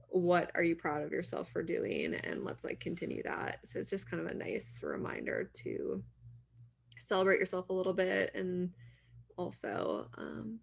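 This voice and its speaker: Woman late 20s, podcast cohost, lots of vocal fry, casual and encouraging, says like a lot. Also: medium pitch. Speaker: podcast co-host interviewing her co-host.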